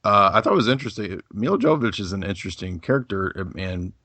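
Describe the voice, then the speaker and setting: A man's voice: lowish voice, lively intonation, fast, pushed and bright, no room sound. A man speaking as a guest in a casual podcast discussion, rambling with many fillers and restarts.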